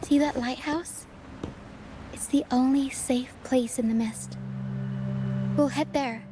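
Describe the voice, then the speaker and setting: A woman's voice: high voice, steady tone, takes it slowly. A woman playing a protective, composed young woman guiding others in a video game cutscene. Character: protective young woman guide through dangerous mist. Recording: music behind the voice.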